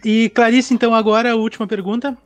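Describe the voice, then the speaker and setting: A podcast host, putting relaxed, encouraging questions to a guest. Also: medium pitch, fast, no room sound, soft background music. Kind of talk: casual interview questions.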